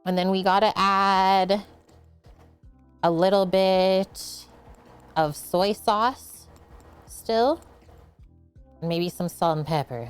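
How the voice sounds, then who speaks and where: A woman's voice: medium pitch, extremely slow, pauses often, smooth, soft background music. A woman hosting a casual home cooking livestream, chatting as she cooks and answering viewer comments. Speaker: cooking show host. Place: home kitchen.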